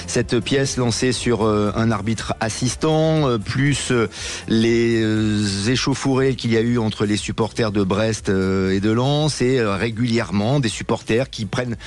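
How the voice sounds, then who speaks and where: Male radio host, lively and teasing, moves the show along. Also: medium pitch. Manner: lively, teasing, quick questions. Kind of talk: sports radio presenting.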